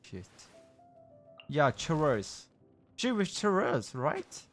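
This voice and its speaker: Slow, animated man livestreaming a game. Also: fairly high pitch, soft background music. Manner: halting, repeats himself, swears casually. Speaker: livestreamer hosting a game stream. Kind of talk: casual livestream game chat.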